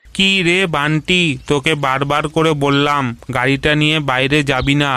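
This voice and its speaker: Cartoon tiger father, played by a man: haughty bully, hard bright tone, scornful delivery. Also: fairly high pitch, steady tone, moderate pace, clear, resonant tone, no echo. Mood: exasperated, scolding. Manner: contemptuous, scolding. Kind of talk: cartoon voice acting.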